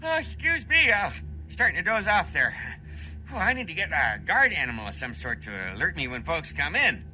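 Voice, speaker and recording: Game role: bumbling male night guard, very bright edgy voice, folksy, flirty, faint background noise. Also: high-pitched, big pitch swings, fairly quick, exaggerated voice, poor audio. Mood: sheepish. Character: goofy, friendly, dim male night security guard. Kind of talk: video game character acting.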